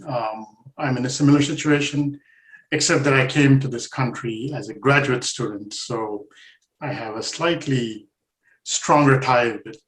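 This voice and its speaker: A man from India, an editor interviewing a guest, asking polite questions with hesitant pauses. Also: medium pitch, a bit bright, roomy sound, low-quality recording.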